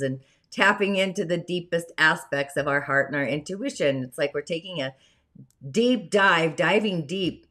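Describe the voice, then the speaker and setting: Female host, hoarse today, low-ish voice, meandering and soft-trailing spiritual talk.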